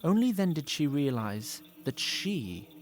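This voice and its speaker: A man's voice: fairly high pitch, very animated, measured pace. A man reading scripted fantasy narration as a theatrical storyteller. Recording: clear background noise.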